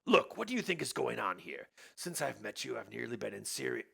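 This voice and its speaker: Man doing a gruff adventurer, terse, gravelly, varied pitch. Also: very animated, a little fast, sharp tone, heavily acted.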